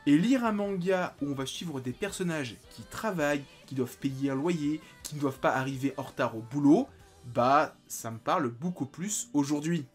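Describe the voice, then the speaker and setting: Excitable young man reviewing manga. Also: fairly high pitch, moderate pace, faint music behind. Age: 20s. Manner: animated, bursts into excited exclamations. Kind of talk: enthusiastic video review.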